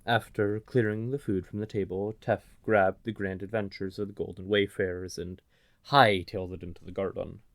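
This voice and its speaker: A man narrating a scripted fantasy story in a measured, dramatic way. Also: sits low, flat delivery.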